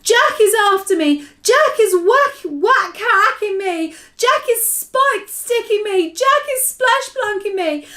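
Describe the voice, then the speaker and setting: A woman's voice: very high-pitched, expressive, a little slow, mellow, pitched above the performer's own voice. A woman voicing a huge, cowardly male giant from a storybook, wailing, bellowing and pleading melodramatically. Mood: panicked screaming. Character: huge brutish man-eating male giant, terrified, pleading in nightmare.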